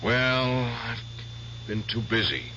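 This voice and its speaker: An actor playing an obsessed war veteran in a 1970s radio drama, intense and bitter. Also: male voice, mid-range voice, expressive, slow, bright, forceful tone, slight background noise. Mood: menacing. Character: obsessed veteran hunting an old comrade. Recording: dull vintage radio broadcast.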